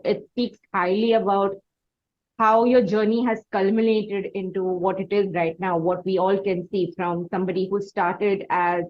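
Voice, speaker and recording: A woman's voice: mid-range voice, highs cut off. A woman with an Indian accent hosting an interview, speaking in a wordy, effusive conversational style. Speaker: interviewer, host.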